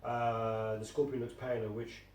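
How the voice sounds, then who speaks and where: A man's voice: medium pitch, little pitch movement, a little slow, a little mellow. A man co-hosting a casual statue review, hesitant and full of fillers, thinking aloud. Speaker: co-host. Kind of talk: casual chat. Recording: echoey room, mic at a distance, faint noise.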